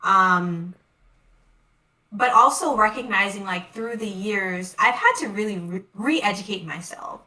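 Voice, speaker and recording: Bright-voiced woman, a bit off-mic. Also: mid-range voice, far from the mic, low-quality recording. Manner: reflective, quick bursts. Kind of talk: casual chat.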